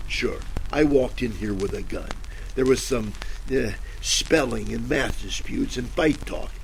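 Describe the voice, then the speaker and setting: A man playing a cynical, wisecracking private detective in a deadpan old-time radio drama style. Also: mid-range voice, creaky, slight background noise, rough recording. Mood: defensive.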